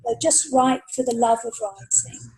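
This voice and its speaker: A woman with a fairly high, husky voice and a mellow tone gives casual advice on a podcast panel. She comes across as earnest and encouraging. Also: fairly even intonation, moderate pace, poor audio. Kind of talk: casual panel talk giving writing advice.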